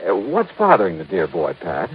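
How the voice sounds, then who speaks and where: A wisecracking, skeptical American investigator with a husky voice and very animated pitch. It is old radio drama with muffled sound. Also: male voice, fairly quick, full character voice. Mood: incredulous, wry. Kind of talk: 1950s radio drama acting.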